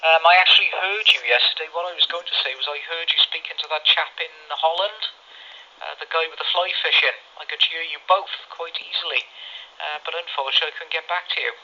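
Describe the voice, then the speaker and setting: Man, very bright sharp voice, higher pitched, chummy rambling radio chat. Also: quick pace, slight background noise. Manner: rambling, chummy, mild swearing. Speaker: amateur CB radio operator. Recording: dull lo-fi radio audio, phone recording.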